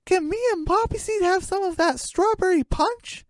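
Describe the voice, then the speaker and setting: Performer doing an excitable little chicken, very high-pitched. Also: moderate pace, no room sound, clean studio sound. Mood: eager. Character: excitable little chicken, eager, cheeky, childlike. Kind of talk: hobby comedy radio play.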